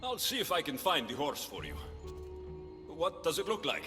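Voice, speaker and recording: A man's voice: fairly high pitch, huge pitch swings, normal speed, over music. A man playing a bold, blunt adventurer in a video game, with an assertive, dry delivery. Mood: dry, inquisitive. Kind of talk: video game cutscene acting.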